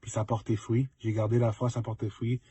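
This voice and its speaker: A man's voice: medium pitch, flat delivery, quick pace, mellow, slightly rough. A young man giving a casual, reflective testimonial to students and mixing in English words. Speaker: former student, pro football player.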